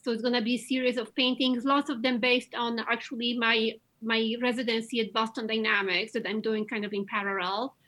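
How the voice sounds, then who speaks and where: This is a woman with a Polish accent, an artist being interviewed. Her voice sits a little higher than most, and she talks with bubbling enthusiasm, gushing about what she loves. Her sentences often start over and trail through fillers. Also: little pitch movement, brisk, hardly any pauses, bright, forceful tone. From Poland. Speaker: painter, interview guest. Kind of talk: casual interview chat.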